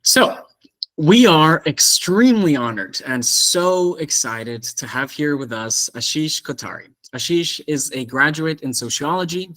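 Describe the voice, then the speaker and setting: A man from Mexico City speaks as the host of an online discussion over a video call. His voice sits at a middle pitch. He welcomes and introduces in an effusive, enthusiastic way. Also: fairly bright tone.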